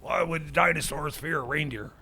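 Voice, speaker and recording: A man playing a blustery middle-aged Christmas crusader who rambles, stammers and gets loud in a radio studio. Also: fairly high pitch, moderate pace, vocal fry, slightly rough, exaggerated voice. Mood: grumbling. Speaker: recurring comic character on a radio show.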